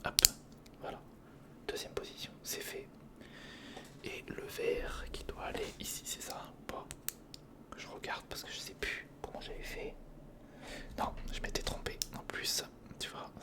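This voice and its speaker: A man's voice: measured pace, long pauses. Whispering man doing casual ASMR. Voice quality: breathy whisper, mostly unvoiced. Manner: hesitant, muttering to himself while working, chatty asides to the viewer. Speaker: ASMR video host. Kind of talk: whispered ASMR build-along, casual and improvised.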